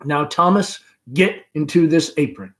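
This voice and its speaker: A man's voice: fairly high pitch, measured pace, close to an everyday voice. A man voicing a chatty, flirtatious middle-aged mother in a play, with a rhapsodic, effusive manner. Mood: chatty, bossy. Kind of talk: play read-aloud.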